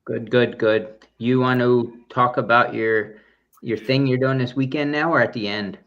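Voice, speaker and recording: A man's voice: medium pitch, steady tone, moderate pace, fairly bright tone, muffled recording. A man co-hosting a running podcast in casual chat, goofy and full of corny jokes delivered with mock pride. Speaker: podcast co-host.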